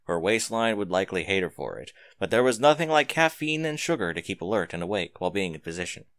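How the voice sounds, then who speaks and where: A man's voice: sits low, big pitch swings, fast talker, fairly bright tone, no echo. A man reading scripted fiction aloud as a dramatic narrator, close to the microphone.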